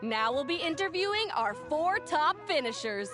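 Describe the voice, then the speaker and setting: A high, lively voice swoops through the lines of a cheerful female tournament emcee. She has a bright, showy announcer's delivery, addressing a crowd in a game. Music plays clearly under her. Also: normal speed. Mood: upbeat. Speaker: tournament announcer interviewing finalists. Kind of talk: video game voice acting.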